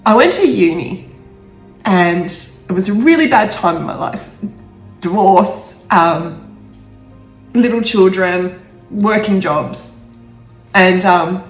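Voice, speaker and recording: Woman, slightly low voice, halting emotional delivery, roomy sound, soft music behind. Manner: halting, stumbling over words, emotional. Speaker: author reading own novel aloud. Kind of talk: amateur storybook reading with personal asides. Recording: roomy echo with faint music.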